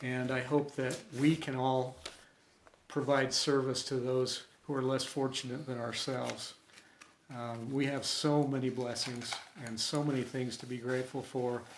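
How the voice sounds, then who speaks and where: A man reading a story aloud as host, with expressive, dramatic delivery. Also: medium pitch, measured pace, slight background noise. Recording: roomy, echoey home recording.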